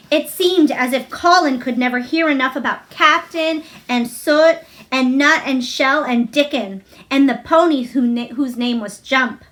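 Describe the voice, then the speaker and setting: Lady reading a kids' novel out loud, highish voice, expressive storyteller style. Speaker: read-aloud storyteller. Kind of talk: expressive storybook narration for children.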